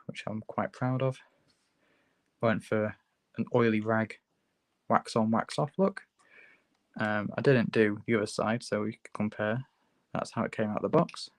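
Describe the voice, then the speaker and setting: A man with a hoarse sore throat, hosting a relaxed, chatty livestream tutorial while building a model kit at his workbench. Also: fairly low pitch, no room sound. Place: hobby workbench, filmed from overhead.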